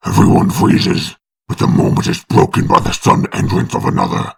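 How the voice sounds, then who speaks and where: A man with a very gravelly, breathy rasp giving dramatic scripted narration of a comic book. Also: a little fast.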